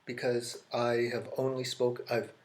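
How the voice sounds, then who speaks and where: An American man teaching an English grammar lesson, explaining slowly and repeating key phrases, often restarting his sentences. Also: medium pitch, monotone. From United States. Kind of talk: classroom grammar lesson at a whiteboard. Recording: echoey classroom.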